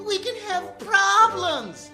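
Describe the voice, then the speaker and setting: A woman's voice: extremely high pitch, sing-song, slow, music in the background, full character voice. A woman playing a drunken, ranting college frat misfit in an amateur radio comedy. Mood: rousing, indignant. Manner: ranting, declamatory. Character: drunken, blustering male frat misfit rallying his friends.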